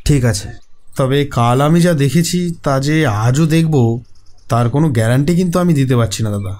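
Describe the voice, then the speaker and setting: A man's voice: medium pitch, normal speed, a little mellow, close to an everyday voice. A man playing an earnest, frightened renovation worker telling his story in a horror cartoon. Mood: deferential.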